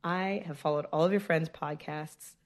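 Low-pitched woman doing a theatrical reading. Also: fairly even intonation, moderate pace. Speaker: guest reader of listener emails. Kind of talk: dramatic reading of fan emails.

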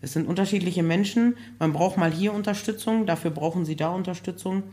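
A hoarse woman talking casually as a podcast guest, chatty and laughing often, with many fillers. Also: on the low side, fairly quick, dark tone. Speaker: guest, building project manager.

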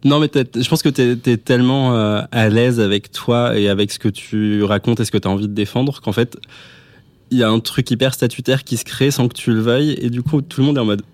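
A man's voice: medium pitch, fairly even intonation, fairly quick, a little mellow, dry recording. A man around thirty hosting a podcast interview in a casual, conversational way. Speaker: podcast host.

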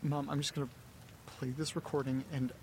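An actor playing an anxious, distressed young man on a phone call to his mother in an audio drama, halting and pleading as he talks. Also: medium pitch, level tone, darkish tone, slight background noise, natural voice. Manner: hesitant, halting, faltering, pleading.

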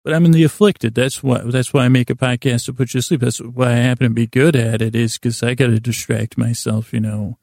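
A man with a heavily creaky, gravelly voice and a mellow, soft-edged tone hosts a bedtime podcast. He meanders through a self-deprecating monologue, pausing and circling back in a lulling way. The recording is close and dry. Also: medium pitch, fairly even intonation, no echo. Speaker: podcast host.

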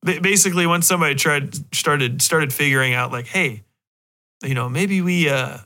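A man hosting a podcast in a casual, rambling monologue, animated and swearing freely. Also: a little high, normal speed. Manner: rambling, animated, heavily profane. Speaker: podcast host.

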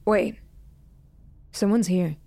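A woman's voice: lowish voice, takes it slowly, gravelly. Sardonic, tough woman, noisy backdrop. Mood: suspicious. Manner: deadpan, blunt, sarcastic. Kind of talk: serialized thriller audio drama acting.

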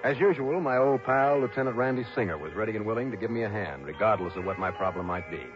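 An actor as a stubborn, world-weary male investigator from an old radio detective show. His voice sits fairly low, with a wry, clipped delivery. The sound is muffled and vintage. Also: expressive, quick pace, over music, natural voice. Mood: wry, narrating. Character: dogged, wry male insurance investigator narrating his case. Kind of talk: 1950s-60s radio detective drama acting.

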